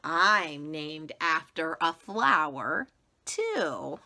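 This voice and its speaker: A woman voicing a kindly, gushing music teacher in a storybook, with a theatrical, drawn-out delivery. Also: sits low, big pitch swings, vocal fry, pushed and bright, full character voice. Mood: kindly, proud.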